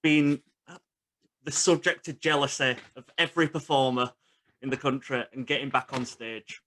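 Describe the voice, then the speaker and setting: A man's voice: mid-range voice, little pitch movement, normal speed, fairly bright tone. A man hosting a casual interview, putting questions to his guest in conversational speech. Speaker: interview host.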